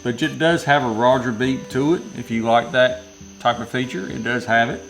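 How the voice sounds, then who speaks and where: A man's voice: mid-range voice, steady tone, normal speed, creaky, a bit bright, soft background music, poor audio. A man with a Southern US accent giving a casual, rambling product review from inside a metal building, often correcting himself mid-sentence. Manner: rambling, self-correcting, folksy.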